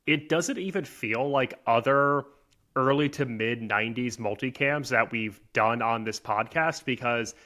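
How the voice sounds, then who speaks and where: A man's voice: mid-range voice, a little slow, sharp tone. A man co-hosting a podcast, talking casually and analytically, sometimes in emphatic short phrases. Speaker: podcast co-host.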